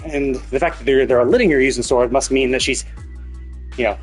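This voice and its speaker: A man talks as a game streamer with music behind him. He thinks aloud in a loose, rambling way and swears casually, at an ordinary middle pitch. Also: fairly quick, husky. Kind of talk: casual live stream commentary.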